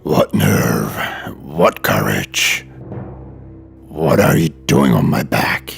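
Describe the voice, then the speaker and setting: Haughty storybook lion; very gravelly, slow, commanding and dismissive. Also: male voice, a bass voice, very animated, faint music behind, exaggerated voice. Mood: indignant. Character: haughty grown male lion, gruff king of jungle. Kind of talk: children's storybook acting.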